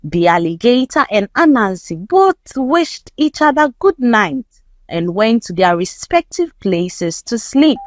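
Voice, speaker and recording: A woman reading a folktale aloud as a storyteller, in a measured, expressive storybook delivery. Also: high voice, dry recording. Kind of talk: scripted folktale narration read aloud.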